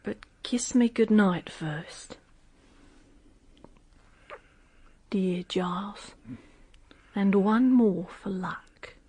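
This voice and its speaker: A woman's voice: medium pitch, slow pace, mellow, breathy edge. An actress playing a tense, secretive, weary woman in a radio drama, speaking in short, urgent, evasive phrases. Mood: tender, whispering.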